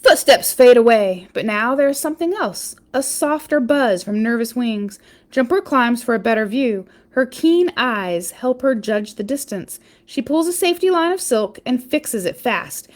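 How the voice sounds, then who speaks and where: A woman reading a children's picture book aloud, with expressive storytelling emphasis and chatty asides. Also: on the high side, normal speed. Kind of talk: animated read-aloud storytelling with casual asides.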